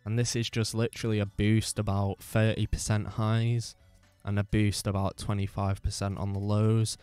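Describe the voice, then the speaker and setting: A man with a low, bassy voice and a bit of gravel in his throat talks loosely about his microphone, circling back and repeating phrases. He is recorded on a dynamic mic at shifting distances. Faint music plays underneath. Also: flat delivery, normal speed, darkish tone, no echo. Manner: rambling, repeats himself, swears casually. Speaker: podcast host. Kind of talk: casual talk about microphone settings. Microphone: dynamic microphone, distance varies.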